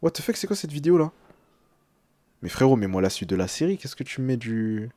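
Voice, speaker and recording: A man's voice: mid-range voice, big pitch swings, a little fast, dark tone, dry recording. A young man speaking as a streamer in casual live reaction, translating text aloud with slangy, hesitant, uneasy commentary.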